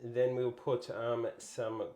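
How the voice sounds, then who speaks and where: A woman's voice: extremely low pitch, level tone, slow pace, dark tone, roomy sound. A woman co-hosting a cooking stream, cooking as she chats in an easygoing way with short replies and giggles. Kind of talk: casual chat while cooking.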